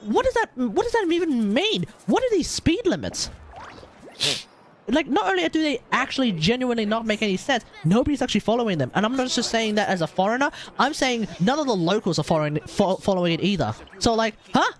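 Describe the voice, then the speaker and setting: A man chatting casually and excitably on a gaming livestream, rambling and correcting himself as he goes. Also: very high-pitched, fairly quick. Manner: animated, rambling, exclamatory, self-correcting. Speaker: live streamer.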